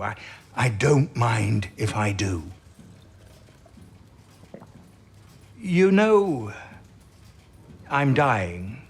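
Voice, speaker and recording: An actor playing an eccentric, ailing older British codebreaker in an audio drama, halting and exclamatory, with a fondness for whimsy. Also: male voice, medium pitch, husky, full character voice. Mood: wistful, tipsy.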